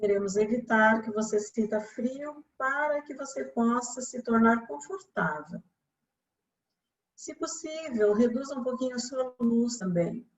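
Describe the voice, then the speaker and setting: A Brazilian woman guiding a relaxation meditation as a yoga instructor, speaking calmly and soothingly with long pauses. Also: a little high, highs cut off.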